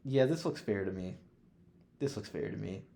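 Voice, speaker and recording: A man's voice: lowish voice, lively intonation, normal speed, creaky, dark tone. A young man co-hosting a podcast, chatting casually and giving opinions in emphatic, profane slang. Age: young adult. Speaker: podcast co-host. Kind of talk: casual chat, opinionated film ranking.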